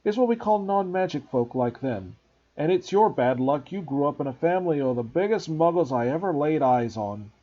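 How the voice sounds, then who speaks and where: A man voicing a huge, rough-spoken, kindly giant with a loud, gruff, folksy way of talking. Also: a little high, lively intonation, normal speed. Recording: dull lo-fi recording.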